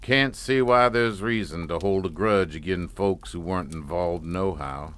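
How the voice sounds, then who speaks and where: An actor playing a courtly, sinister old plantation patriarch with a folksy Southern US drawl in an audio drama. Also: male voice, sits low, measured pace, a bit bright. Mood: folksy, ominous.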